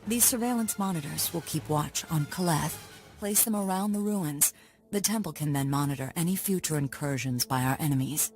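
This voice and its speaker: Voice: medium pitch, moderate pace, a little mellow, faint music behind, natural voice. An actor playing a serious, cautioning Jedi master in a game cutscene.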